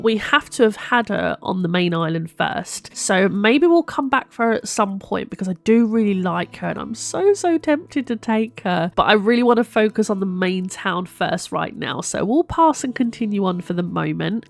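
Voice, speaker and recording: A woman's voice: mid-range voice, gravelly. A woman gives chatty, casual gameplay commentary as a video host, her voice sounding slightly bunged up. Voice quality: slightly congested, bunged-up nose. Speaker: host of a gaming video series.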